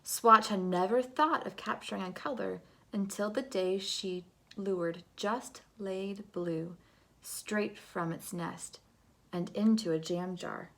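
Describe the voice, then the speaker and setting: A woman narrates a storybook for children with a bright, clean voice. Her delivery is slow and theatrical, with hushed phrases and big dramatic swells. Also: medium pitch, gravelly. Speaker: storybook reader. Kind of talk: expressive read-aloud narration for children.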